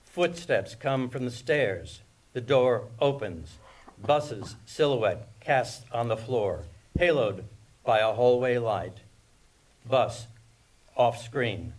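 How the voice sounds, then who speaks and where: A man's voice: medium pitch, animated, slow pace. A man narrating scripted stage directions at a screenplay table read, picked up from a distance. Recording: distant mic with background noise.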